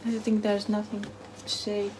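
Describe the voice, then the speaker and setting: A woman book vlogger chatting casually to camera in short, halting phrases that trail off softly. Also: mid-range voice, completely flat delivery, a little hiss or hum. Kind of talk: casual chat to camera, answering a book tag.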